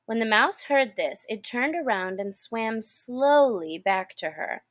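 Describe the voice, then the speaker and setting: A woman reading a storybook aloud in expressive narration for children and learners. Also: a little high, measured pace. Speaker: storybook reader.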